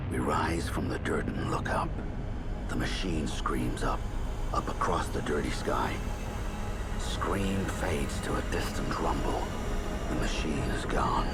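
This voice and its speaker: A rock-bottom deep, gruff mutant soldier of the wasteland, brooding and bitter. He speaks slowly in terse, whispery phrases with a heavy gravel, over loud music. It has the grim tone of video game narration. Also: heavily acted. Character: gruff, embittered male mutant stalker narrating a wasteland story. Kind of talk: brooding first-person scripted game narration.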